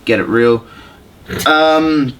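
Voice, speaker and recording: A man hosts a gaming podcast in an informal, conversational style. His voice sits at an average pitch as he talks about games like someone chatting with friends. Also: very slow, a bit bright, smooth, rough recording. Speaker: podcast host. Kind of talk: casual chat about video games.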